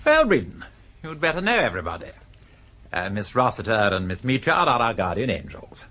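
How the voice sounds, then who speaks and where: An actor playing a wry, flippant advertising copywriter with an upper-class British accent and mock-grand delivery in a radio drama. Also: male voice, medium pitch, wildly swooping pitch, a little fast, sharp tone. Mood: droll, charming. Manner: droll, mock-grandiloquent, sardonic.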